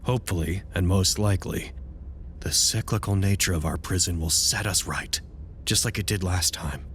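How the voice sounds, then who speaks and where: An actor playing a dutiful researcher at an underground anomaly site in an audio drama, speaking in a formal, wordy way with dry wit. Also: male voice, low voice, normal speed, a bit bright, slight background noise. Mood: grave, analytical. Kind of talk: audio drama, recorded log monologue.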